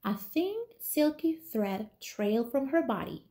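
A young woman, a toddler teacher, reads a picture book to young children in a deliberate, sing-song way. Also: fairly high pitch, animated, warm tone. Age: young adult. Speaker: toddler teacher reading aloud. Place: small living room, indoors on a couch.

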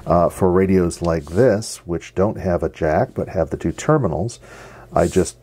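A middle-aged man, giving a casual hands-on demonstration in a halting, unscripted way. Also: low voice, warm tone, slightly rough. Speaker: radio hobbyist presenter. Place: basement radio workshop, indoors. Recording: noisy home basement recording.

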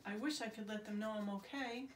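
A woman's voice: mid-range voice, brisk, pitched above the performer's own voice, natural voice. A woman voicing a small, worried male stuffed toy duck in a children's storybook, anxious and plaintive. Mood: wistful. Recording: roomy, distant mic, faint hiss.

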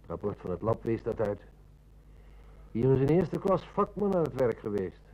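An actor playing a shrewd, methodical police inspector near retirement in a radio play, with measured, polite questioning. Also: male voice, medium pitch, big pitch swings, a little mellow, lo-fi sound, lightly acted. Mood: methodical, probing. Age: older. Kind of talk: Dutch radio play acting.

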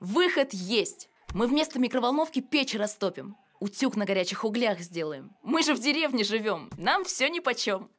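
A woman voicing a sensible, resourceful young village boy who explains things matter-of-factly in an animated cartoon. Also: fairly high pitch, moderate pace, few pauses. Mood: confident.

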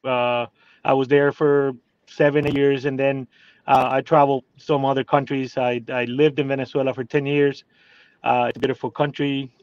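A man's voice: medium pitch, little pitch movement, normal speed, clear, resonant tone, dry recording. A man in his forties with a Cuban Spanish accent, speaking English as a second language, telling his story hesitantly with many fillers from inside a parked car. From Cuba. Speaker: guest. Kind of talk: casual interview. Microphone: phone video call. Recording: dry, slightly dull phone audio.

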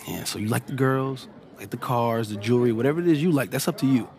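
A man's voice: mid-range voice, fast talker, creaky, a little mellow, close to an everyday voice. A young man playing an ambitious, earnest basketball teammate in a video game cutscene, speaking persuasively in casual slang. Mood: serious, reflective. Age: young adult. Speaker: basketball player.

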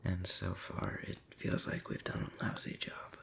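A man rambling intimately late at night in an ASMR style, breathy and often whispering, hesitant and pensive with long pauses and soft hums. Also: low-pitched, animated. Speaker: audio roleplay channel creator.

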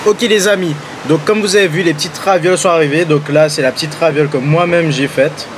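Enthusiastic higher-voiced man, noisy. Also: fairly even intonation, fairly quick. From Guadeloupe. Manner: enthusiastic, slangy, addresses viewers. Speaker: food vlogger, co-host. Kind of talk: casual vlog chat, tasting food.

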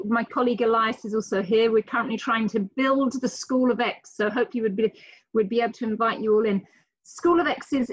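A woman's voice: on the high side, no echo. A middle-aged woman from the UK with a British accent, speaking articulately and enthusiastically as a guest on a live video call. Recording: dull lo-fi call audio.

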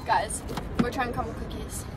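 A woman's voice: on the high side, fairly even intonation, normal speed, very noisy. A young woman in her twenties talking casually to her phone camera, upbeat and chatty with lots of filler words. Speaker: vlogger. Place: home, beach and car. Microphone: phone held at arm's length.

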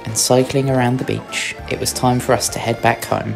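A man narrates a travel vlog from a script. His voice is medium in pitch with plenty of fry, and music plays clearly behind it. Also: little pitch movement, normal speed. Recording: voice-over with music bed.

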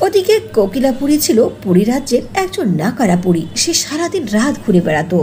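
A woman's voice: fairly high pitch, big pitch swings, a little fast, darkish tone. A woman narrating a scripted fairy tale as a storyteller. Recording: faint noise under voice-over.

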